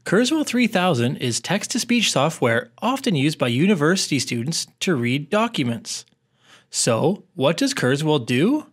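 A man presenting a scripted tutorial in a clear, instructional way. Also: on the high side, very animated, a little slow. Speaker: tutorial presenter, educational technologist.

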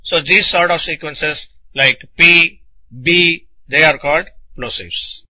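Bright, forceful male technical lecturer. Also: a little high, rough recording. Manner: deliberate, didactic, explains in short phrases with rhetorical questions. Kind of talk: scripted technical lecture narration.

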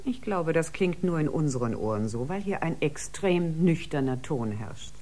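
An actress playing a composed, caring ship doctor in a radio drama, speaking in a measured, diplomatic way. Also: female voice, deep voice, lively intonation, dark tone. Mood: composed, persuasive. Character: composed, caring female ship doctor and scientist, tactfully persuasive.

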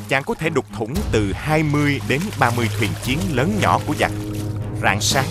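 Male folk-tale narrator over prominent music. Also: mid-range voice. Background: background music.

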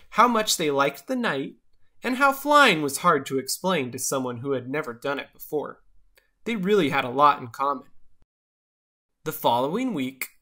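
A storyteller reading a children's picture book aloud in an expressive style. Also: medium pitch.

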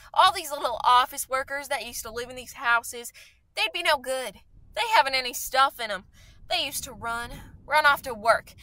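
A woman's voice: high voice, bright, forceful tone, dry recording, rough recording. A woman playing a fervent, scheming artilleryman survivor in a radio play, talking in an insistent, slangy, folksy way.

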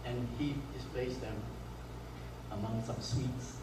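A man's voice: medium pitch, fairly even intonation, very noisy. Malaysian man lecturing in an echoey hall. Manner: halting, with frequent restarts and fillers. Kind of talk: conference lecture over slides. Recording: echoey hall recorded from far off.

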